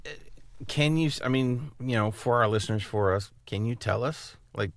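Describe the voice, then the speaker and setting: A man's voice: medium pitch, normal speed. A man co-hosting a podcast in casual chat, with an informal, jokey manner. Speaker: podcast co-host.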